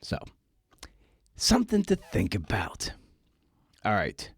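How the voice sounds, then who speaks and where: A man's voice: fairly low pitch, huge pitch swings, takes it slowly, vocal fry, husky, no room sound. A man hosting a podcast in casual, candid talk, rambling and self-deprecating with frequent false starts. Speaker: podcast host.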